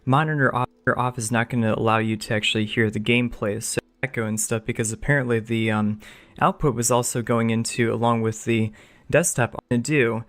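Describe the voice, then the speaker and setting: A man's voice: medium pitch, fairly even intonation, fairly quick, fairly bright tone, no room sound. Rambling streamer man with a dry, close sound. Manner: rambling, loose explanations. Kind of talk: casual stream talk.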